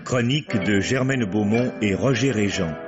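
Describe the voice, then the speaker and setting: A man reading credits as a radio announcer, formal, measured and solemn. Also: fairly low pitch, muffled recording, music in the background.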